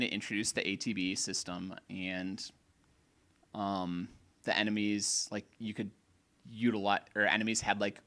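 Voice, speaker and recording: A man co-hosting a casual gaming podcast, opinionated and rambling, a little hoarse, often restarting his sentences. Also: fairly low pitch, bright, forceful tone. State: slightly hoarse. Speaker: podcast co-host.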